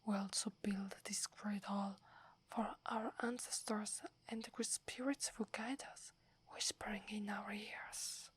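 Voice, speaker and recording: A woman's voice: medium pitch, fairly even intonation. Whispering young werewolf warrior played by an Italian-accented woman. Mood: reverent, wondering. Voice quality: soft breathy whisper. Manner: slow, hushed, deferential. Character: young female werewolf warrior, devoted, humble, obedient. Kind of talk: ASMR roleplay.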